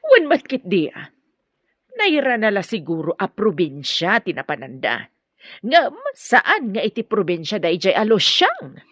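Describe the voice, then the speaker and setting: A woman's voice: mid-range voice, wildly swooping pitch, measured pace, a bit bright, breathy edge, no echo, full character voice. A wildly swooping, suspicious woman. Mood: curious. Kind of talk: Ilocano radio drama acting.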